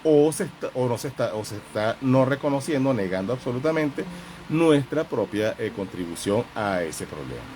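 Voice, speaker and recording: A man gives an informal live talk in the role of a counselor. His mid-pitched voice moves in a halting, reflective way, circling back over phrases as he explains. A faint noise sits behind him. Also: animated, a little mellow. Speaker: relationship counselor and host.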